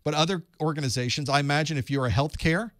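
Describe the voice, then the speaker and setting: A man's voice: a little high, fast, a bit bright, dry recording, studio quality. A man hosting a live call-in study group, giving advice in a conversational way and thinking aloud. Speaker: host of a certification study group.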